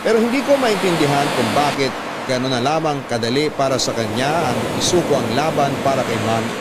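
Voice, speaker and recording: Mid-pitched, sorrowful storyteller, a man narrating. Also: close to an everyday voice. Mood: troubled, reflective. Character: sorrowful grown child narrating a parent's illness. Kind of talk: dramatic first-person narration.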